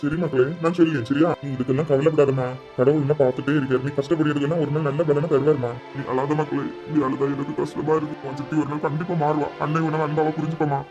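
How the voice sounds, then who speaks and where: A man playing a gentle middle-aged father in a family melodrama, soft-spoken and placating, with a Kanyakumari Tamil accent. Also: fairly high pitch, little pitch movement, fast talker, few pauses, highs cut off, lightly acted. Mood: consoling. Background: music.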